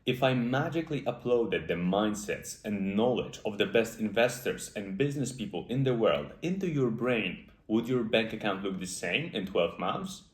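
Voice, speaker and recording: A man's voice: fairly low pitch, expressive, moderate pace, few pauses. A man in his twenties with a Polish accent, talking earnestly and off the cuff about lessons and goals, with many "uh" fillers. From Poland. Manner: earnest, reflective, with frequent "uh" fillers. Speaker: self-improvement YouTuber. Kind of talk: unscripted motivational monologue. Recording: echoey room.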